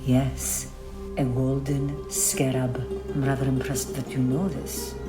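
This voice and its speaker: An actress playing a composed, knowledgeable woman presenting antiquities in an audio drama, speaking in a measured, formal, explanatory manner. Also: extremely low pitch, little pitch movement. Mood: quietly impressed. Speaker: actor in an audio play. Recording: roomy echo under prominent music.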